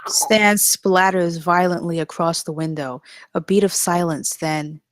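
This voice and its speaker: A woman's voice: on the low side, fairly even intonation, unhurried, no echo. A woman reading scripted stage directions as the narrator.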